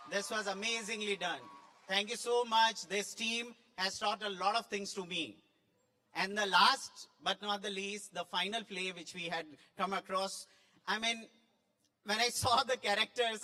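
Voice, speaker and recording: Man, high bright voice, emphatic judge's speech, long hall reverb. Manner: effusive, emphatic. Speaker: judge at a school play competition. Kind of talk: impromptu speech to an audience. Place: large school hall.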